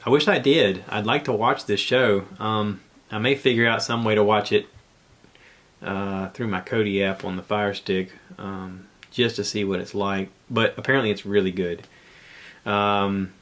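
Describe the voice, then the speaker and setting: A man with a fairly low voice talks casually as a solo podcast host. He rambles conversationally and haltingly, working out his thoughts aloud as he goes. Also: fairly bright tone.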